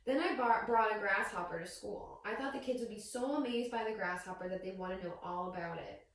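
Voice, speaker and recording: A woman reading a picture book aloud to young children as a teacher, with expressive storytelling and questions to her listeners. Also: medium pitch, fairly quick. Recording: very echoey room, distant microphone.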